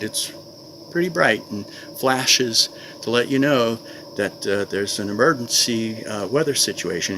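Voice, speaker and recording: An older man with a fairly low voice talks casually to camera as a vlogger. He is plain-spoken and conversational. The recording is made outdoors, with clear background noise and insect ambience. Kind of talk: casual product review to camera.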